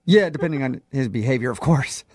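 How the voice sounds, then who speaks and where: A man's voice: mid-range voice, brisk, a little mellow, no room sound, studio quality, close to an everyday voice. Man posing as a casual, evasive male court clerk. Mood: sheepish, flippant. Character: chatty county court clerk, awkwardly breaking bad news, amused. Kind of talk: improvised prank phone call.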